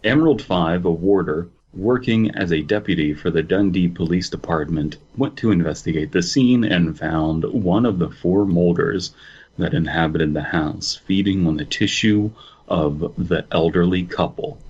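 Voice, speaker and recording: A man with a low, husky voice co-hosting a horror fiction podcast. He reads and chats haltingly, with fillers and giggles, and the sound is a bit dull and lo-fi. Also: darkish tone. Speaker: podcast co-host reading stories. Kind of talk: casual podcast chat and reading aloud.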